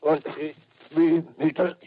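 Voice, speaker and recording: A man's voice: a little high, flat delivery, slow pace, narrow, tinny audio, a little hiss or hum. An actor playing a strained male soldier at the guns in a 1930s radio drama, on an old broadcast recording.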